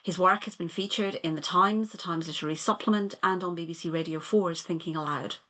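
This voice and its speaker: A woman hosting an event, reading a measured, scripted introduction of the evening's speaker. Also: lowish voice, pushed and bright. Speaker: event host.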